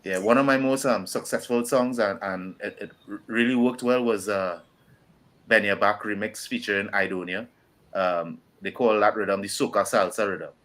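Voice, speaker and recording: Low-pitched Trinidadian man, relaxed interview chat. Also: moderate pace. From Trinidad and Tobago. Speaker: guest musician. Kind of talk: casual interview talk.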